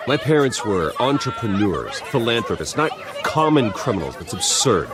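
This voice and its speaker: An actor playing a composed, guarded rich philanthropist in a video game, speaking in a measured, earnest way. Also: male voice, on the low side, big pitch swings, crowd noise. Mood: indignant. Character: wealthy composed heir and philanthropist, adult man, guarded. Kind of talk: video game dialogue, public speech.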